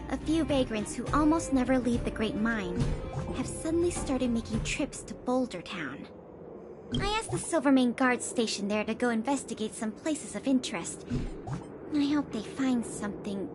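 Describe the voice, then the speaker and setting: High-pitched, eager young woman acting a female investigator. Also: expressive, moderate pace, lightly acted. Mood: earnest, informative. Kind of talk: video game character dialogue. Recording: music behind the voice. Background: game background music.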